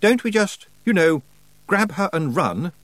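A man's voice: high voice, big pitch swings, a little slow, a bit bright, no room sound, voice pushed up, exaggerated voice. A man voicing an earnest, nervous young man who talks politely and anxiously in an audiobook. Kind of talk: audiobook character voice.

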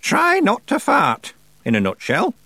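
A man voicing an ancient female witch, stern and sly, in a slow, drawn-out, lofty manner for an audiobook. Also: high-pitched, wildly swooping pitch, pushed and bright, husky, no room sound, pitched above the performer's own voice, exaggerated voice. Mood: mock-solemn.

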